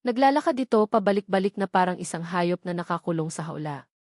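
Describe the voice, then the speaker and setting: A woman's voice: fairly low pitch, animated, fast, darkish tone, no room sound, clean studio sound. A woman narrating a dramatic scripted love story.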